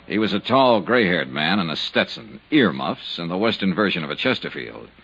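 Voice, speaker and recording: An actor playing a hard-boiled, wry insurance investigator in 1950s radio detective style, clipped and self-assured. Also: male voice, low voice, very animated, moderate pace, background noise. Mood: brisk, narrating. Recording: dull old radio recording.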